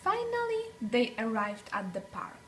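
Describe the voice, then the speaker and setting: Slow, high-voiced woman reading a storybook. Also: big pitch swings, fairly bright tone, a little hiss or hum. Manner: deliberate, dramatic phrasing with emphasized words. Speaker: children's story reader. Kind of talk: expressive picture-book read-aloud for young children.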